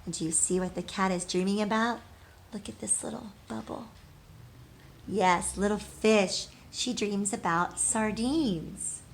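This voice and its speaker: A woman teacher reading a storybook aloud expressively, pausing to put questions to young listeners. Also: medium pitch, vocal fry, a little hiss or hum.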